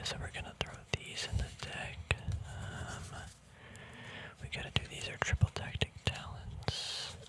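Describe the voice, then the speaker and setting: An ASMR host speaking in a casual, rambling whisper, hesitant and thinking aloud. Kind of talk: ASMR whispering, casual card unboxing chat.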